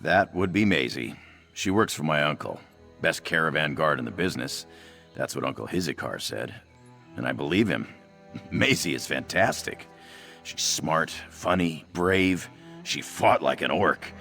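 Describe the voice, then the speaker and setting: A man's voice: a bass voice, animated, a little slow, gravelly, pushed and bright, soft background music, full character voice. A man voicing an anxious, self-doubting young cat-man trader in a fantasy video game, fretful and hesitant. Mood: fond, worried.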